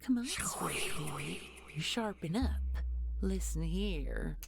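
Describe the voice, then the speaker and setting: A woman's voice: fairly low pitch, animated, slow, creaky, music in the background, heavily acted. A woman playing an ancient nightmare posing as a business executive in an audio horror drama, mock-sweet and menacing, with folksy, condescending pet names. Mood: coaxing menace. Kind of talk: audio horror roleplay acting.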